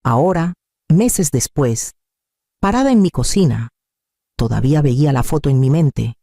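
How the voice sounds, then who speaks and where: A man reading a scripted first-person dramatic story in measured, deliberate short phrases. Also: on the high side, lively intonation, vocal fry, mellow, dry recording, studio quality. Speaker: story narrator.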